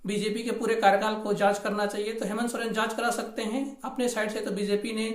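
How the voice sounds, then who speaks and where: A man's voice: high voice, flat delivery, fast talker. A man presenting an informal news explainer, repeating points and mixing English terms into his Hindi. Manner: repetitive, mixes in English terms. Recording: echoey room recording.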